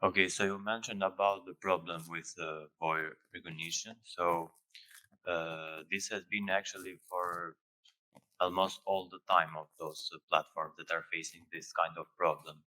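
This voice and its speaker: A man with a non-native English accent asks a spontaneous question as an audience member. His voice is deep yet bright and forceful, and he speaks haltingly, searching for words. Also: steady tone, rough recording. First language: not English.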